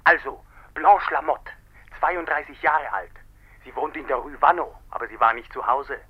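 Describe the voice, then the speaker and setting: Actor as a husky, dutiful police inspector. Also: male voice, medium pitch, moderate pace, lightly acted. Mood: businesslike. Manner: factual, orderly reporting. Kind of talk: 1950s German radio drama acting. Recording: dull telephone-like old radio recording.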